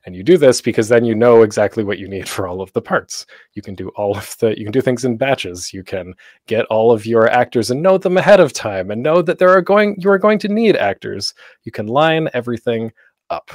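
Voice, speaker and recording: A man's voice: medium pitch, expressive, a little fast, darkish tone, no room sound, studio quality. A man giving an informal, conversational instructional talk as a presenter, with a self-deprecating manner.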